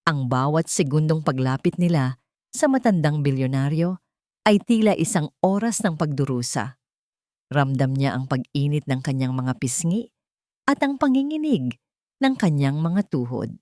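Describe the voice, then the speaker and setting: A woman narrating a scripted dramatic story in a solemn, deliberate, melodramatic way. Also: sits low, expressive, darkish tone, no echo, clean studio sound.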